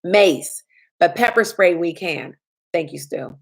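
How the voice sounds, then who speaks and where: Slightly raspy woman hosting a Q&A. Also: fairly low pitch, animated, slow pace. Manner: chatty, answers viewer questions. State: slightly raspy from lack of sleep. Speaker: insurance agent and trainer. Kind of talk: live-stream Q&A.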